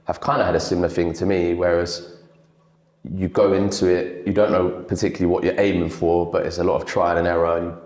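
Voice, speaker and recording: A man with a very low voice talks freely as an interview guest. He swears casually and laughs easily. The room around him sounds echoey. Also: little pitch movement, a little fast, a little mellow. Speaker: guest, creative director.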